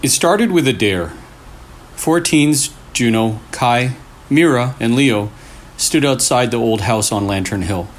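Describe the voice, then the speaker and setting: A man reading a scary story aloud in short, deliberate phrases with pauses for suspense. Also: mid-range voice, lively intonation. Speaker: storyteller, channel host. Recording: clearly noisy room recording.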